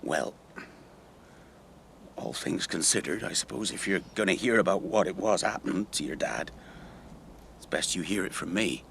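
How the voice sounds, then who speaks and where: A man's voice: deep voice, animated, moderate pace, creaky, long pauses, a bit bright, a little hiss or hum, heavily acted. An actor playing a wistful middle-aged British working man, a folksy rambling storyteller in a naturalistic radio drama. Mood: grave. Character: wistful middle-aged local factory worker, old family friend.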